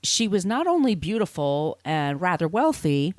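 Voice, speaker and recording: A woman's voice: lowish voice, sing-song, normal speed, a little mellow, no echo. A woman hosting a podcast, telling literary history conversationally in an informal, explanatory way with asides. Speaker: podcast host.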